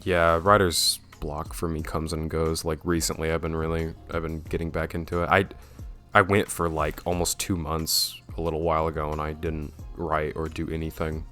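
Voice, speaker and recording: Young male podcast host. Very low, deep radio-style voice, loose and rambling. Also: moderate pace, faint music behind. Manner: relaxed and rambling, with frequent fillers. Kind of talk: casual chat.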